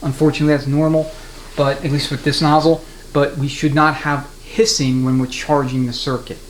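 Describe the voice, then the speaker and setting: A man's voice: on the high side, flat delivery, moderate pace. A man giving an unscripted, hands-on mechanical tutorial, explaining each step methodically in plain-spoken terms. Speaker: transmission mechanic giving a how-to demonstration. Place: indoors at a transmission workbench. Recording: roomy echo, clear background noise.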